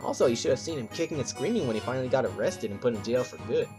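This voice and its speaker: An earnest ghost witness in an audio drama, acted by a man, gossiping through testimony in a very lively voice. Music plays clearly behind. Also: medium pitch, very fast, lightly acted. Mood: earnest, dramatic.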